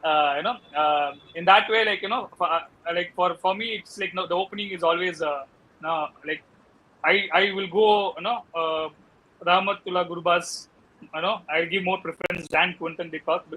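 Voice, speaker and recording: A South Indian man talking cricket on a casual panel, halting and often falling back on filler phrases. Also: high-pitched, fairly even intonation, pushed and bright, a little hiss or hum, low-quality recording. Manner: halting, repeats "you know".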